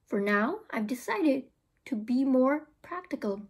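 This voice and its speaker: A woman's voice: high-pitched, expressive, a little slow, darkish tone, husky, heavily acted. A woman reading the part of a practical, firm mother in a storybook, explaining herself. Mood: matter-of-fact.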